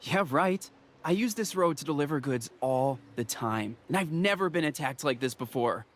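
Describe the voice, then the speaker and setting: A man voicing a worried delivery man and father who rambles and trails off, confused, over soft game music. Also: fairly high pitch, lively intonation, normal speed, a little hiss or hum, close to an everyday voice. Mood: uneasy.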